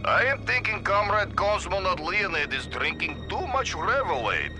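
An actor playing a gullible, vodka-loving Soviet cosmonaut with a Russian accent, speaking theatrical broken English. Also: male voice, high voice, big pitch swings, moderate pace, music in the background. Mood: condescending pity. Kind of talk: comic video game cutscene acting.

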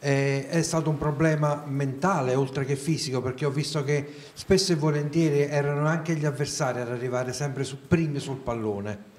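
A man, a sports journalist asking critical questions at a press conference, opening with drawn-out "eh" sounds. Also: a little high, fairly even intonation, darkish tone, reverberant.

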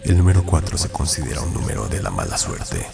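A man narrating a scripted creepy countdown in an ominous, deliberate way. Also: rumbling low, big pitch swings, vocal fry, music in the background. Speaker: narrator of a video game countdown.

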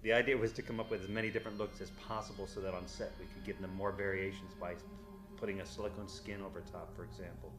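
A man's voice: sits low, level tone, fast talker. A middle-aged man, an effects artist, explaining his past work in an interview. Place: indoor room, seated interview. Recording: echoey room, faint music.

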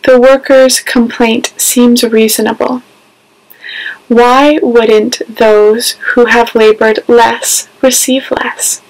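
A woman in her thirties reads scripture from a script. Her voice is fairly high and stays even, and she takes her time with careful, deliberate phrasing. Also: low-quality recording. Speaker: scripture reader. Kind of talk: scripted scripture reading and introduction. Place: small home room, indoors.

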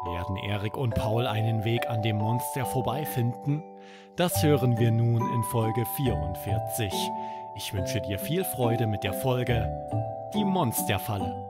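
A man hosting a children's podcast, narrating a bedtime story in an expressive, dramatic way. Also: medium pitch.

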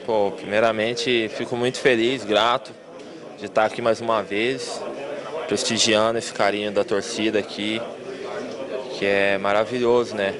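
A Brazilian footballer in his thirties, a man who talks in a calm, grateful, unhurried way into a handheld mic. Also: on the low side. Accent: Brazilian Portuguese. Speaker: football player. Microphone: handheld microphone. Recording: crowd noise behind.

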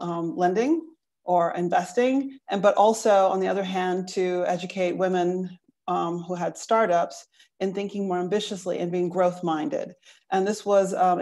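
Animated woman lecturer on a video call. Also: mid-range voice. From Chicago, USA. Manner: animated, talks with many fillers. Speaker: business school lecturer. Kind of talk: panel introduction on video call. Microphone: earphone mic on video call.

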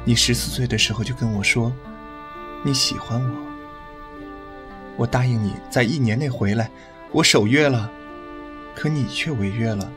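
A man's voice: medium pitch, moderate pace, pauses often, music in the background, close to an everyday voice. A man playing a tender, teasing, devoted young lover in an audio drama. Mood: wounded.

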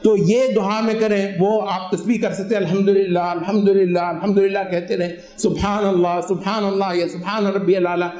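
A man in his forties with an Urdu accent, giving an animated Friday sermon at a mosque into a stand microphone, explaining teachings and reciting Arabic prayers. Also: sits high, a little fast, few pauses. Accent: Pakistani Urdu. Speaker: imam. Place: mosque prayer hall, indoors. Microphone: stand microphone and lapel mic. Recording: roomy hall echo.

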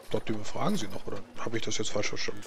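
A man's voice: lowish voice, very fast, vocal fry. A man playing a naive, eager dwarf warrior in a tabletop game, speaking bluntly and asking simple, puzzled questions. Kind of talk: tabletop roleplay in character.

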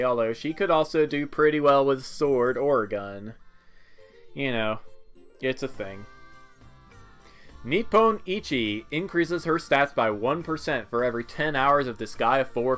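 A man's voice: mid-range voice, fairly bright tone. Laid-back man doing game commentary. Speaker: video game player and commentator. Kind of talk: casual gameplay commentary. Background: video game music.

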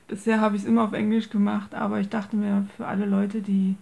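A woman's voice: medium pitch, little pitch movement, normal speed, gravelly, a little mellow. A woman giving a casual, hesitant show-and-tell, searching for words and mixing English craft terms into her speech. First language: German. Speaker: craft video maker presenting a handmade journal. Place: indoors at a tabletop.

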